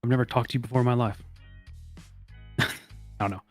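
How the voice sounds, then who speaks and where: A man's voice: mid-range voice, steady tone, brisk, no echo, soft background music. A man hosting a comedy advice segment, chatting and reading aloud in a blunt, profane, mock-serious way. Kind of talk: casual, joking group chat and reading aloud.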